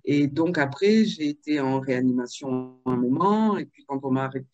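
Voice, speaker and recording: A woman in her fifties from Marseille, telling her life story in a video-call interview, with frequent pauses and fillers. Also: a bass voice, lively intonation, darkish tone, dull audio, soft background music. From Marseille, France. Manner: hesitant, with many fillers and restarts. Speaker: author and interview guest.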